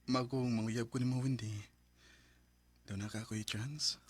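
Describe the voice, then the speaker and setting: A man's voice: medium pitch, flat delivery, moderate pace, dry recording, lightly acted. An actor playing an eager, earnest separated father courting a woman in a radio drama, with a Cebuano accent. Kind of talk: Bisaya radio drama acting.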